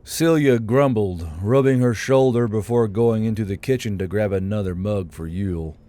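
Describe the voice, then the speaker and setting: A man's voice: fairly low pitch, dark tone. A man reading scripted narration for a fantasy audiobook as its narrator.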